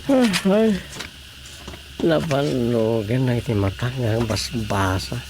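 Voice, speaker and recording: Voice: medium pitch, sing-song, slow pace, over music. An actor with an Ilocano accent playing a musing, muttering reader in a comic radio drama, rambling with sighs and interjections. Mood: puzzled musing. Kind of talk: radio drama comedy monologue.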